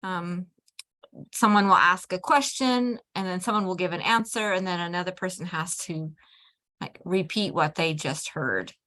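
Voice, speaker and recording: A woman who teaches adult English learners, offering practical classroom advice in a relaxed discussion with other teachers. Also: lowish voice. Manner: measured, practical advice with fillers. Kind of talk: casual discussion among teachers.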